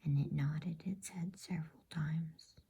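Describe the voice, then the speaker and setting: Woman whispering a slow, breathy bedtime story. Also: deep voice, fairly even intonation. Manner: hushed, unhurried, soothing. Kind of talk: ASMR storybook narration.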